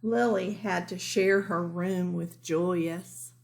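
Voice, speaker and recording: A woman reading a picture book aloud as a teacher, deliberate and emphatic, for young children. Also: fairly low pitch, fairly even intonation, creaky, a little mellow. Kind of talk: picture-book read-aloud with informal asides.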